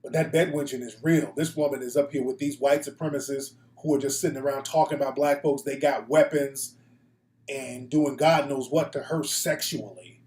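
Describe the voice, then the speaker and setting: A man's voice: medium pitch, fairly even intonation, moderate pace, darkish tone. An American man hosting a casual, opinionated live talk show, speaking bluntly with slang and profanity. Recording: echoey room.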